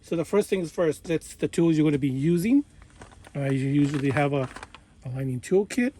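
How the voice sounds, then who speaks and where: A man presenting an informal hands-on technical demonstration, explaining step by step in halting, instructional phrases. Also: on the high side, warm tone. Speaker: tutorial presenter, radio hobbyist.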